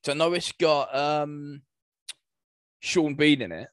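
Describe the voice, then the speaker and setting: A man's voice: fairly high pitch, slow pace, clean tone, no room sound, studio quality. A man in casual podcast conversation as co-host, thinking aloud with some hesitation. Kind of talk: casual chat about video game movies.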